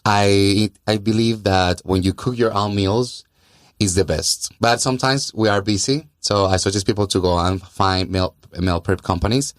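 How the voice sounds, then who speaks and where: A man's voice: on the low side, steady tone, moderate pace, no echo, clean studio sound. A man from Colombia with a Colombian accent and native Spanish, explaining things earnestly as a guest in a conversational podcast interview, often repeating words for emphasis. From Colombia and South America. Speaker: interview guest, gym owner and fitness trainer.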